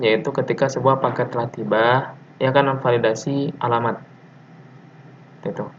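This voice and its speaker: Guy doing an informal slide lecture, stops mid sentence a lot, dull lo-fi audio w/ a bit of noise. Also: mid-range voice. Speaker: presenter explaining technical material.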